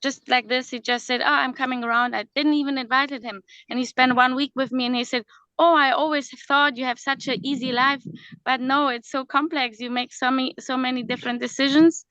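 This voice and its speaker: Woman with smooth voice, English. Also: sits high, steady tone, a little fast, pushed and bright, dry recording, lo-fi sound. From born in Slovakia, raised in Germany. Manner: non-native phrasing, hesitant, laughs often. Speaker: guest, worldschooling mother. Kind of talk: casual video-call chat. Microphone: video call.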